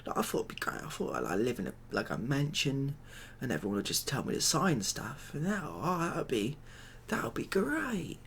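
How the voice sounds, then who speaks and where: A British man doing a soft-spoken ASMR comedy roleplay as a glum, overwhelmed ex-builder, rambling along in a hesitant, deadpan way. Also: medium pitch, normal speed, creaky, a little hiss or hum. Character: glum, overwhelmed ex-builder made president of the world.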